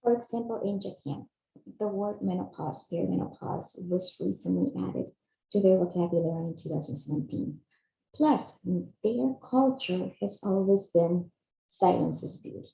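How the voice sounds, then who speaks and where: A woman's voice: mid-range voice, gravelly, dark tone. A woman in her fifties from Chile, earnestly narrating a personal video series she hosts. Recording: echoey, slightly dull room sound.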